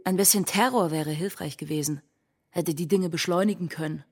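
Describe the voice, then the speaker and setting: An actress playing a fiery, mocking feminist in a radio drama, speaking in emphatic, sarcastic polemic. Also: female voice, on the low side, brisk, a little mellow, faint music behind, close to an everyday voice. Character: fiery, mocking feminist woman challenging patriarchy.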